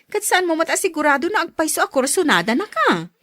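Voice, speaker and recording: Radio drama role: a plain-spoken, reproachful sister, animated intonation, dry studio recording. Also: female voice, very high voice, fast, dry recording, full character voice. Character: frank sister, chiding her brother.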